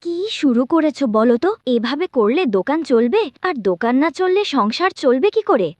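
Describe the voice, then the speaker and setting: Woman acting a high-pitched scolding village wife. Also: animated, fairly quick, a little mellow, no room sound. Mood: exasperated. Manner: nagging, complaining. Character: fed-up, scolding village housewife. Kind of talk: cartoon voice acting.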